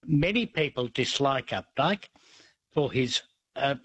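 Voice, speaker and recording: A man's voice: medium pitch, expressive, slow pace, a bit bright, a little hiss or hum. A man calling in to a radio show, talking hesitantly with many ums and uhs. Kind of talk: casual radio chat.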